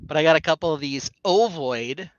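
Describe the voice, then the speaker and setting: A man's voice: a little high, normal speed, no echo, muffled recording. A man co-hosting a casual live stream, rambling along with plenty of fillers and restarts. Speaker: co-host.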